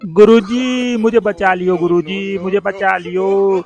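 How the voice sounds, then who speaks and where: A man's voice: high-pitched, fairly even intonation, slow, muffled recording, faint music behind, voice pushed up. A man voicing a frightened young disciple in a cartoon, whining and pleading in rustic wording. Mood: panicked pleading.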